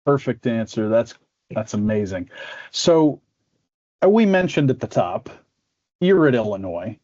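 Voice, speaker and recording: A man's voice: mid-range voice, sing-song, unhurried, a little mellow, highs cut off. A man hosting an interview podcast in a playful, joking way. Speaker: podcast host.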